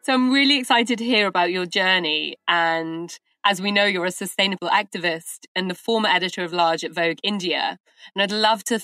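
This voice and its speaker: A woman hosting a podcast who gives a measured, upbeat scripted introduction and then asks interview questions. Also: fairly low pitch, pushed and bright, no echo. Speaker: podcast host.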